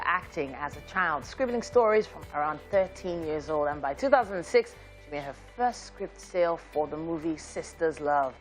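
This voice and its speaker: A woman from Nigeria co-hosting a morning TV show, bubbly and quick to laugh, with light music behind her. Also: sits low. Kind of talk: lively breakfast TV chat and news reading. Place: TV studio.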